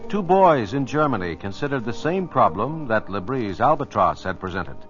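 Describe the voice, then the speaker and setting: Animated male radio narrator. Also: medium pitch, moderate pace, soft background music. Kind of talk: scripted 1950s radio documentary narration. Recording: muffled old radio recording.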